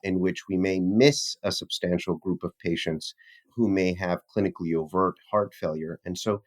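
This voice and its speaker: A man with a fairly low voice speaks as a physician researcher being interviewed. He is articulate and formal, using technical language in a discussion style. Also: fairly even intonation, normal speed.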